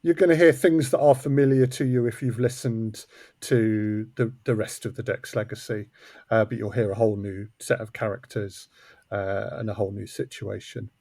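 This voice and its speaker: A man's voice: fairly low pitch, little pitch movement, moderate pace, mellow, dry recording, clean studio sound. A man speaking casually as an interview guest on a podcast. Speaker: guest, audio drama co-creator.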